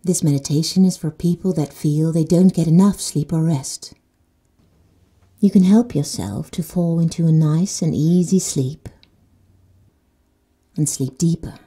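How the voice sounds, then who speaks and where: A woman guides a sleep meditation in a very mellow, breathy voice set slightly low. She speaks slowly and soothingly and sometimes drops to a hushed whisper. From Netherlands. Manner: slow and soothing, dropping to soft whispers.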